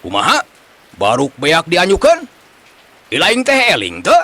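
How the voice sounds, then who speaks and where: A man's voice: fairly high pitch, wildly swooping pitch, quick pace, bright, forceful tone, exaggerated voice. A man with a Sundanese accent voicing an angry, scolding male fish vendor in a comic folk tale. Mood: indignant. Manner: indignant, scolding.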